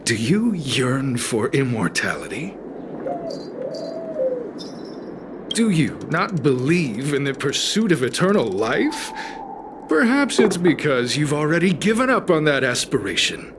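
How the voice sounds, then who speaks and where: A man playing a zealous, silky-polite cult recruiter in a video game, with a theatrical, formal and devout manner. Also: mid-range voice. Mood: coaxing. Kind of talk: scripted video game dialogue.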